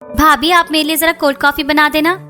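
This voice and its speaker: A self-centred college girl in a cartoon family drama, voiced by a woman. She is high-pitched, pouty and demanding, with music underneath. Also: little pitch movement, brisk, pushed and bright. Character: self-centred young college-going sister-in-law.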